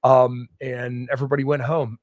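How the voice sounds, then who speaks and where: A slightly hoarse man hosting a solo political podcast, reading news aloud and bluntly giving his own opinions. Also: medium pitch, dry recording. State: slightly hoarse, getting over illness. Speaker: podcast host. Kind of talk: solo political commentary livestream.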